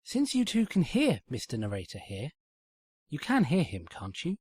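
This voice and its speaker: Guy putting on unhinged young girl pony princess, highly strung, raspy falsetto swoops, fanfic character. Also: huge pitch swings, moderate pace, darkish tone, dry recording, full character voice. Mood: tense. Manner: muttering to shrieking, highly strung. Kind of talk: fan fiction character reading.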